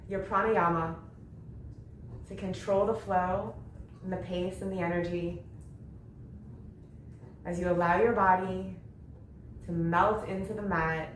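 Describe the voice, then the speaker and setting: A woman leading a guided yoga meditation, speaking gently in short, unhurried phrases with long pauses between them. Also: fairly low pitch. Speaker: yoga nidra meditation instructor. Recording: roomy echo with faint background noise.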